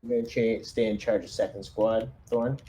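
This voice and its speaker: A man talking casually through a tabletop roleplaying game, giving squad orders in a halting way. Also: lowish voice, level tone, crowd noise, low-quality recording.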